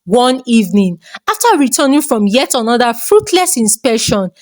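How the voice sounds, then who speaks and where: A woman's voice: a little high, very animated, normal speed. A woman narrating a scripted folktale as a storyteller.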